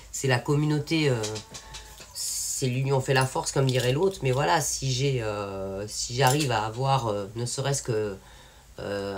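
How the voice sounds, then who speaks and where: A woman from France talking casually and frankly to her viewers, rambling with many fillers and restarts. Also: very deep voice. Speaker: independent singer and channel owner. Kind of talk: casual spoken update to viewers.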